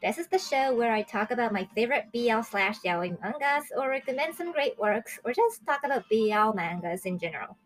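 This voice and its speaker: A woman in her early twenties from Japan, hosting a casual solo review show in a chatty, excitable way. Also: on the high side, brisk, few pauses, faint music behind, poor audio. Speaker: host of a manga review show.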